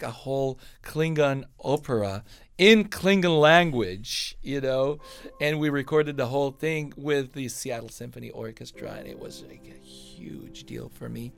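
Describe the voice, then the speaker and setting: A middle-aged man from Israel, a composer being interviewed, telling stories in a relaxed, rambling way with lots of fillers and self-corrections. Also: medium pitch, gravelly, no room sound. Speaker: composer guest.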